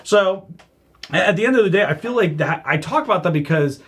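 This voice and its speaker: A man talking casually on a podcast as a comedian, animated and self-deprecating, laughing often. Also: high-pitched, brisk, husky. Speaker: stand-up comedian guest.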